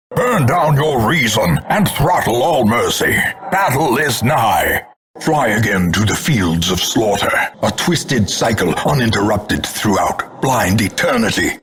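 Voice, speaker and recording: An actor playing a grim, contemptuous older narrator of doom, announcing a video game with grandiose, theatrical gothic delivery. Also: male voice, fairly low pitch, fairly bright tone. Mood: menacing, rousing. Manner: grandiose, ornate, measured dramatic delivery.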